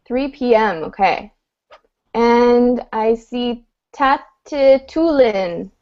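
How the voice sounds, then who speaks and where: Patient teacher on a video call. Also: female voice, a little high, drawn-out words. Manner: patient, clear, encouraging, uses fillers. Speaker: online English teacher. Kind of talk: online group class. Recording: dull lo-fi video call audio.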